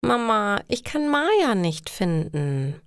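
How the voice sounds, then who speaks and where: A woman voicing an eager young girl in a slowly read learner's story, carefully enunciated. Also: mid-range voice, big pitch swings, clean tone, dry recording, studio quality. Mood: worried. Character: eager young girl at carnival with her mother.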